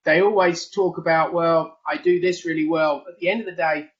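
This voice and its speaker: Rambling male webinar host, slightly high. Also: fairly even intonation, normal speed, highs cut off. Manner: rambling, hedging with "you know" and "if you like". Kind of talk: conversational interviewing.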